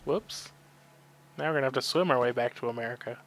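A man's voice: mid-range voice, fast talker, a bit bright, slight background noise, rough recording. A man giving casual, sarcastic video game commentary, grumbling and swearing freely. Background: game sound effects and ambience.

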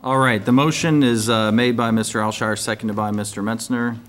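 A man chairing a city council meeting, briskly calling motions and votes into a desk microphone. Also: mid-range voice, fairly even intonation, fairly bright tone, a little hiss or hum. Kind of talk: formal meeting procedure. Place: council chamber.

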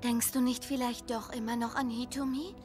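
A woman's voice: a little high, level tone, normal speed, lightly acted. A woman playing a loyal, outspoken girl in an audio drama, talking in a direct, emotive way. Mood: insistent. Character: loyal, outspoken girl consoling her sad friend. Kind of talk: German audio drama acting. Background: music.

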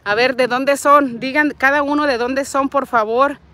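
A woman's voice: sits high, fairly even intonation, normal speed, bright, forceful tone. Chatty Mexican woman livestreaming outdoors. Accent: Mexican Spanish. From Nayarit, Mexico. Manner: chatty and affectionate, reading out comments. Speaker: vlogger hosting a livestream. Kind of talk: casual live chat, greeting viewers. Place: outdoors in a parking lot and a street. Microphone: wired earbud mic, phone at arm's length.

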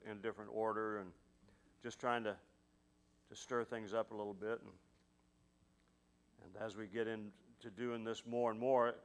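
A man's voice: fairly low pitch, a bit bright. A middle-aged man leading a casual church service from the pulpit, folksy and peppered with hallelujahs and praise the Lords. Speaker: pastor. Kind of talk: casual sermon and prayer time. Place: large church sanctuary. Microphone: podium mic.

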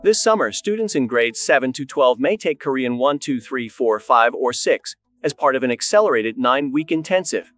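A man's voice: mid-range voice, moderate pace, hardly any pauses, no echo, faint music behind. A man in his thirties, a school presenter, giving a polished scripted promotional talk to camera in a studio. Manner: polished, even delivery to camera. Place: studio, voice-over on graphics.

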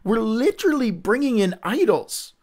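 A man's voice: very high voice, sing-song, normal speed, a little mellow. Earnest candid man leading Bible study. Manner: earnest, candid, conversational teaching; rambling with frequent restarts. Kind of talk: livestreamed Bible reading and discussion.

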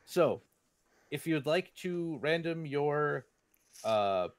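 A man's voice: a little high, lively intonation, drawn-out words, clear, resonant tone. A man running a tabletop game on a video call, rambling with fillers and laughing often. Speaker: game master. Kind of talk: casual tabletop game chat. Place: home room on video call.

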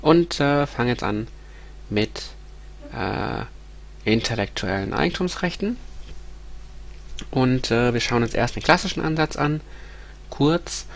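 A man's voice: mid-range voice, expressive, a little slow, vocal fry, long pauses. A man in his thirties with a stuffy nose from a cold, giving a recorded university lecture over slides, hesitant with many ums and restarts. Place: small room at home.

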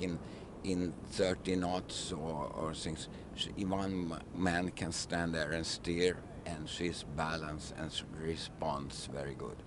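An older man with a very deep voice speaks slowly, searching for his words in broken English. He is interviewed outdoors at a waterfront, talking into a handheld mic, with faint outdoor noise behind him. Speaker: ship captain. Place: outdoors on a wooden ship at a city waterfront. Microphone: handheld mic held by interviewer.

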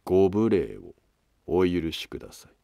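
A man's voice: sits low, sing-song, normal speed, gravelly, no echo, highs cut off, full character voice. A man playing a polite, probing court noble in a historical drama, speaking in formal, deferential, insinuating phrases. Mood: probing, courteous. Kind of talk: scripted historical drama dialogue.

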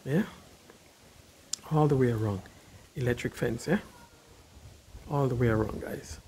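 A man's voice: medium pitch, unhurried, darkish tone, a little hiss or hum. Chatty man touring his new house. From Caribbean. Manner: chatty, keeps addressing viewers as guys. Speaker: vlogger giving a house tour. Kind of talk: casual walking house tour. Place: house and yard, indoors and out. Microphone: handheld phone camera, filming while walking.

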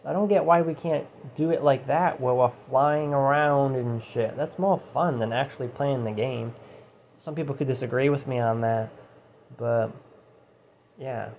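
A man with a mellow, darker voice rambles through a casual monologue in his room, his voice starting to go hoarse. He swears freely and keeps checking in with "you know". His phone rests nearby, with a faint heater hum behind him. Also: medium pitch, measured pace, muffled recording. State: voice going hoarse. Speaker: channel host. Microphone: phone set down nearby.

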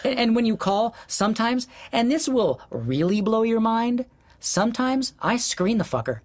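An actor playing an exasperated, sarcastic husband in an audio drama, hurt and profane in a late-night argument. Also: male voice, very high voice, very animated, moderate pace, heavily acted. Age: 40s.

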